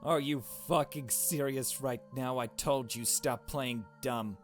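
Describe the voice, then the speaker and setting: A man voicing a blunt, cool young woman investigator from a visual novel, with a dry, deliberate, confrontational manner. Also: mid-range voice, faint music behind, close to an everyday voice.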